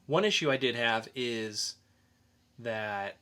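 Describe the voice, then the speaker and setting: A man's voice: medium pitch, slow, sharp tone, smooth. A man hosting a casual film review podcast, explaining his opinions as he goes. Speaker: podcast host.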